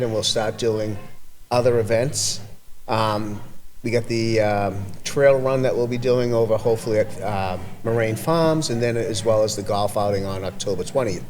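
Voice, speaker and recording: Rambling man with an Everett accent. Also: mid-range voice. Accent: Everett, Massachusetts. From Everett, Massachusetts. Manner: rambling, halting with many fillers and restarts. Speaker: community event organizer. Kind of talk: casual podcast conversation.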